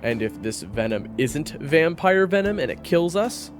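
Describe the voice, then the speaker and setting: A man playing a blunt, excitable vampire hunter with a gruff, teasing temper in an audio roleplay. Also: a little high, measured pace, over music, voice pushed up. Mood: excitable, goading.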